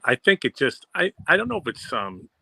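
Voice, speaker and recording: A man's voice: medium pitch, sing-song, fairly quick, gravelly, pushed and bright, breathy edge, no room sound. A man with a Boston accent chatting as co-host, enthusiastic and rambling with fillers. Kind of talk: casual fan discussion.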